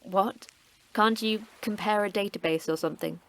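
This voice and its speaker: An actress playing a terse, cryptic station manager who trains in martial arts, speaking in a curt, dry, sardonic way. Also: female voice, medium pitch, moderate pace, a bit bright, lightly acted. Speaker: station manager of a secret facility.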